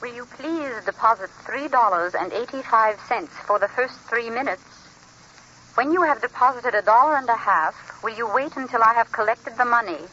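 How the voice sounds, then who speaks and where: A woman playing a brisk, impersonal long-distance telephone operator in a 1940s radio drama, formal and procedural. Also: on the high side, sharp tone. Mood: impersonal, businesslike. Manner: formal, clipped, singsong procedural delivery. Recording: muffled, noisy old radio recording.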